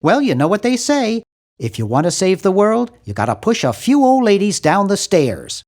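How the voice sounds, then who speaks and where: Cartoon game lead: nervous young American geek, high nasal voice for a man, very animated, fussy and earnest. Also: moderate pace, heavily acted. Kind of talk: cartoon adventure game voice acting.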